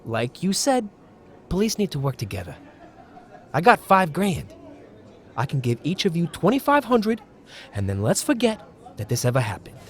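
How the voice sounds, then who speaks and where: Radio-play fixer male actor: small-time bully, blustering and argumentative, high male register, very animated delivery. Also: moderate pace, pauses often. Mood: evasive, wheedling. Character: short, shifty, bullying political fixer, loudly indignant. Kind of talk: audio drama acting.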